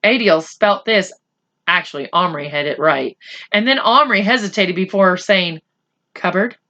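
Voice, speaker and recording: A woman's voice: lowish voice, moderate pace, bright, forceful tone, lo-fi sound. A woman reading a children's story aloud, breaking off into informal, self-correcting explanations for young listeners. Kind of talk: read-aloud narration with chatty asides to children.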